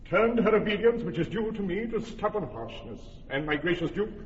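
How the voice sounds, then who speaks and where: A man's voice: high voice, highs cut off, slight background noise. An actor playing an angry older father who declaims his complaint against his daughter in an old radio play. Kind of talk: old radio verse drama. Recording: distant roomy old radio recording.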